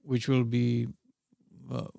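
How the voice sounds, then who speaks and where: A middle-aged man with a Czech accent, chatting as a podcast guest. He speaks slowly and haltingly, with lots of fillers, and his voice is gravelly. The sound is close and dry. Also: mid-range voice, completely flat delivery, warm tone, dry recording. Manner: hesitant, many fillers and broken phrasing. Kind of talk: casual interview chat.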